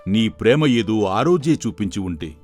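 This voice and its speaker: A man playing a stern, knowing sage in an animated folktale, admonishing and explaining. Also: lowish voice, moderate pace, faint music behind, natural voice. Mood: stern reproach. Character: stern male sage, secretly a scheming magician in disguise.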